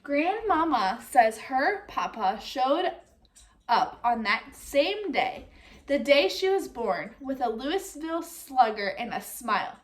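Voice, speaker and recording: High-voiced woman reading aloud to children. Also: slow pace. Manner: expressive, addressing young listeners directly. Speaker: teacher reading a picture book aloud. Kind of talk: read-aloud storytelling with comprehension questions.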